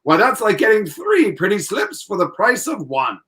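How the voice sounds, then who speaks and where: A man's voice: high voice, huge pitch swings, moderate pace, a bit bright, full character voice. A man playing an upbeat, polished 1940s radio announcer, hearty and salesmanlike as he presents and narrates. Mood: enthusiastic.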